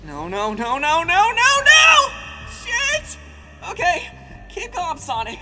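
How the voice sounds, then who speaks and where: A woman voicing a panicky, sarcastic male blue hedgehog hero in a comedic cartoon fandub, excitable, stammering and swearing. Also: very high-pitched, takes it slowly, music in the background, full character voice. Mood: panicked.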